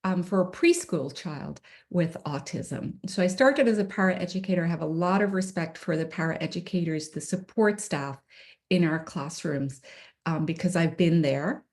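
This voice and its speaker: A woman's voice: lowish voice, moderate pace, darkish tone. A middle-aged woman from Ireland, presenting slides to parents at an online meeting in a friendly, informal way with frequent ums, on a computer mic. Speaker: special education administrator.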